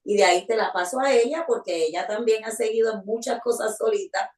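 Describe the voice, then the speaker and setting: A woman's voice: fairly high pitch, fairly quick, darkish tone, recorded from a distance. A middle-aged woman, telling her story as an interview guest with many small fillers. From Puerto Rico. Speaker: guest, community volunteer. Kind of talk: conversational interview answer. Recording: roomy sound, away from the mic.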